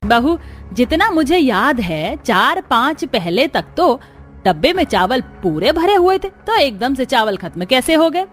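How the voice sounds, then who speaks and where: A woman's voice: sits high, sing-song, a little slow, faint music behind. A woman voicing a commanding, demanding middle-aged mother-in-law in a cartoon story.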